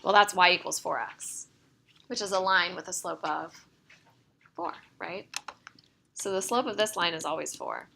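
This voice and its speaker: Creaky-voiced woman teaching calculus. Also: fairly low pitch, normal speed, pauses often, sharp tone. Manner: explains step by step, checking in with "right?". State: slightly losing her voice. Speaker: math teacher. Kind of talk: classroom lecture. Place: classroom.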